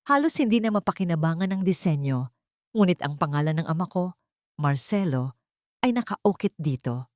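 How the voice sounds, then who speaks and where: A woman's voice: lowish voice, lively intonation, normal speed, a little mellow, no echo, clean studio sound. A woman narrating a scripted first-person story in an earnest, dramatic storytelling manner.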